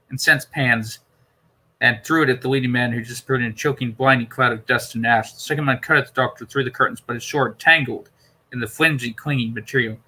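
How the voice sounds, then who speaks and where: Bright, forceful male novel reader. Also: medium pitch, slightly rough, poor audio. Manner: stumbles over words. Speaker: amateur audiobook reader. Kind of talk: novel readalong narration.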